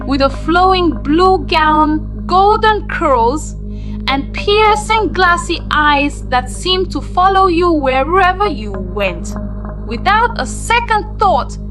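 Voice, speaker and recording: A woman narrating a spooky scripted tale in a dramatic, deliberate storytelling manner. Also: high-pitched.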